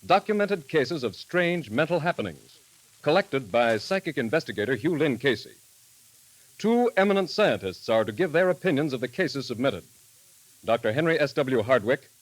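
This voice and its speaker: A man narrating in the formal, measured, dramatic style of a 1930s radio announcer. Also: medium pitch, no echo, low-quality recording. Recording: vintage 1938 radio broadcast recording.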